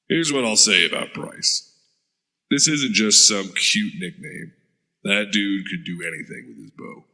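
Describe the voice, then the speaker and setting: A man's voice: fairly low pitch, expressive, takes it slowly, pauses often, sharp tone, no room sound, heavily acted. A man playing a hardened veteran soldier who talks in a blunt, profane way. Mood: gruff, recounting. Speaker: interrogated war veteran. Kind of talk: audio drama acting.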